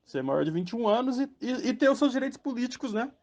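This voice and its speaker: A man's voice: very high voice, big pitch swings, fast talker, a little mellow. Rambling Brazilian man, muffled lo-fi sound. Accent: Brazilian Portuguese. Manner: rambling, full of fillers and tag questions. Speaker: podcast host. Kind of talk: casual spoken commentary.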